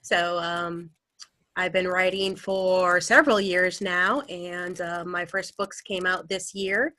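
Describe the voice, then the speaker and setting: Wisconsin-born woman author chatting on a panel, low-ish bright voice, easygoing, lots of ums. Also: flat delivery, takes it slowly, dry recording. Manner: easygoing, frequent fillers. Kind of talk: casual panel talk. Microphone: video call.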